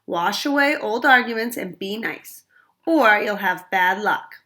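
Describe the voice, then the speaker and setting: A caring, firm mother in a read-aloud storybook, as a woman puts her on, coaxing her daughter in a bright, lively voice. She keeps her words gentle but steady. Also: mid-range voice, unhurried. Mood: warning.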